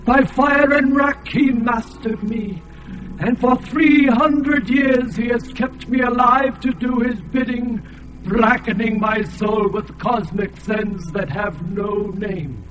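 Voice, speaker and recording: A high, slow-moving ancient alien god for a radio play, blinded and sorrowful, with a grave chanting voice. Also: male voice, over music, rough recording. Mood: sorrowful, solemn. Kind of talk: radio drama acting.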